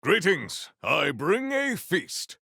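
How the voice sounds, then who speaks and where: Proud warrior for a video game, super formal and grand, swoops up and down a lot, dry close sound. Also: male voice, fairly high pitch, wildly swooping pitch, creaky, sharp tone, husky, no room sound, full character voice. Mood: formal, earnest. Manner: grandiose, stiffly formal, earnest, deliberate. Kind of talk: video game character voice lines.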